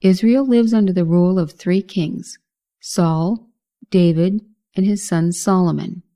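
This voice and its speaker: A woman narrating a scripted Bible history lesson in a measured, formal reading manner. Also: lowish voice, warm tone.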